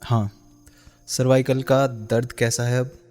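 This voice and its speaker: A man's voice: mid-range voice, flat delivery, slow, darkish tone, soft background music, lightly acted. An actor playing a reserved, principled male interviewer and former lover in a radio drama, speaking with restrained earnestness. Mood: subdued.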